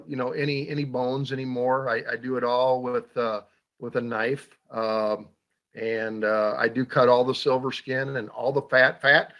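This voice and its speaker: A man's voice: mid-range voice, a bit bright. A man hosting a hunting talk show, talking casually with lots of fillers and restarts. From Iowa. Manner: halting, many fillers and restarts. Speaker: radio show host.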